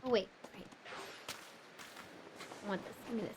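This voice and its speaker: A woman streaming a game live, chatting with viewers and reacting excitedly, her voice worn out and tired. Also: mid-range voice, moderate pace, loud music behind the voice. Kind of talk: casual live-stream commentary.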